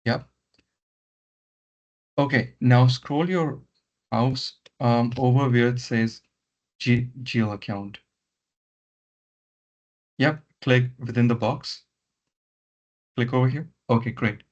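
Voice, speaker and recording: A man giving step-by-step software training in an instructional way, often repeating his words, over an online meeting. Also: mid-range voice, steady tone, slow, pauses often. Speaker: software trainer leading a session. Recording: muffled lo-fi online meeting audio.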